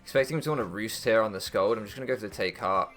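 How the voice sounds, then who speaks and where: A man's voice: fairly low pitch, rapid-fire, fairly bright tone, soft background music. A young man doing casual strategy game commentary, thinking aloud and deliberating as he goes, with hesitations. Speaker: gaming YouTuber.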